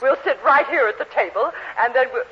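A woman's voice: high-pitched, fairly quick, husky. A woman playing a stern, imperious middle-aged matron in a 1940s radio sitcom. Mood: bossy. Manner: imperious, emphatic. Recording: muffled, noisy 1940s radio recording.

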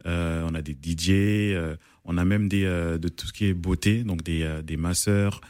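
Deep-voiced man, hesitant radio guest. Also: fairly even intonation, moderate pace, darkish tone, no echo. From France. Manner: hesitant, many fillers and restarts. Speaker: event organizer, guest. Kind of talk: radio interview. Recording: dry radio studio.

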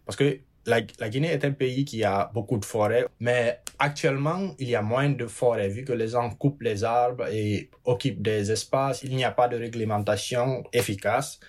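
A young man in his twenties from Guinea, a student and youth climate ambassador, speaking earnestly and a little haltingly. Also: medium pitch, fairly even intonation. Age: 20s. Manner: earnest, halting, with fillers and repeated words. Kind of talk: interview testimony.

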